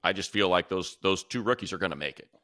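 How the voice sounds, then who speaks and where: A man co-hosting a sports talk show, giving casual analysis and thinking out loud as he runs through lists of names. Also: low voice, lively intonation, fast talker, dry recording, clean studio sound.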